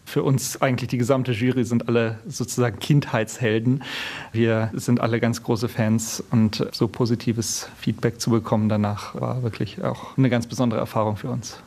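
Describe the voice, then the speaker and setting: A man, a string quartet musician, giving earnest, measured answers in an interview. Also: mid-range voice, little pitch movement, a little mellow.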